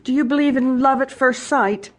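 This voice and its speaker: A woman's voice: sits high, moderate pace, close to an everyday voice. A woman playing an anxious, refined widowed mother in a radio drama, telling her story earnestly.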